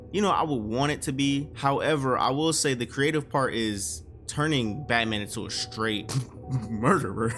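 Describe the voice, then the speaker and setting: A man's voice: mid-range voice, fairly bright tone. A man giving casual, slangy reaction commentary as a video host, often repeating phrases for emphasis.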